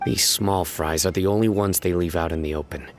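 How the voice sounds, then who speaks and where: A man voicing a stern, calm investigator in a video game, speaking in a measured, authoritative and unyielding way. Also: sits low, natural voice. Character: stern male law enforcer investigating smugglers, calm and severe. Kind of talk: English video game voice acting.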